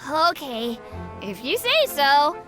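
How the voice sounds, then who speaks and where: Game casting: small boy, very high childlike voice, slow lively delivery, eager, loud music behind. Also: full character voice. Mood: innocent concern. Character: eager little boy who adores his uncle. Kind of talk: video game voice acting.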